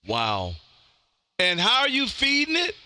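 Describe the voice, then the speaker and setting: An American man hosting a ham radio stream, talking casually in a loud, animated, slangy way. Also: extremely high pitch, measured pace, a little hiss or hum. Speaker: amateur radio operator hosting a live stream. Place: home radio room.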